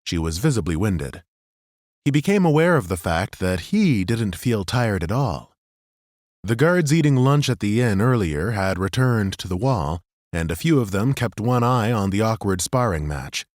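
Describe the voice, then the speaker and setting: Deep-voiced male audiobook narrator. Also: moderate pace, no echo, studio quality. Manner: steady, even storytelling. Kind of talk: scripted fantasy narration.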